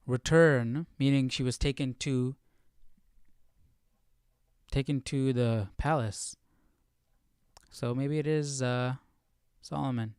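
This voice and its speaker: A man's voice: mid-range voice, fairly even intonation, takes it slowly, pauses often, a little mellow, clean tone, dry recording, clean studio sound. A man hosting a Bible study stream, reading aloud and musing in an informal, questioning way. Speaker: host of Bible study livestream.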